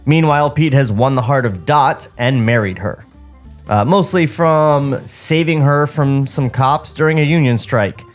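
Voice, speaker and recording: A man's voice: medium pitch, a little slow. Male comedy podcast host, sardonic and conversational, for script read-alouds over light background music. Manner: mocking, sardonic. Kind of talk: casual comic banter and script table read. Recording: music playing behind the voice.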